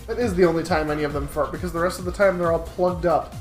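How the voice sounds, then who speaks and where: A man's voice: on the high side, steady tone, fast talker. A man co-hosting a gaming show, riffing in casual, crude, joking chat. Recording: roomy echo, faint music behind.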